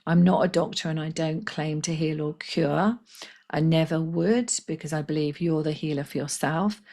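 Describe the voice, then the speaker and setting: A woman with a slightly croaky voice, hosting a live spiritual healing session in a gentle, chatty way and reading out viewers' comments. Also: low voice, fairly even intonation, moderate pace. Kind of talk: casual live-stream talk.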